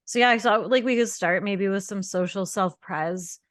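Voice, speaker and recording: A woman's voice: mid-range voice, unhurried, dry recording, clean studio sound. A woman hosting a casual podcast chat, playful and teasing, laughing often as she introduces her guests. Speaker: podcast host.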